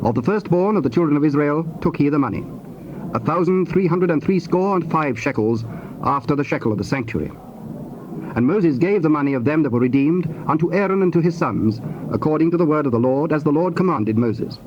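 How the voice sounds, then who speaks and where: A man narrates scripture formally and solemnly, in measured phrases. His voice sits a little high, on a dull cassette recording with faint noise behind it. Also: animated, a little mellow. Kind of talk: scripted dramatized Bible narration. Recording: dull, noisy old cassette tape.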